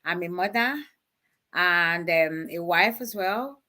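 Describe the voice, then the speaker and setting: A woman's voice: lowish voice, slow, pushed and bright. A woman from Nigeria, a guest on a live online show, answering casually and without hurry between small laughs.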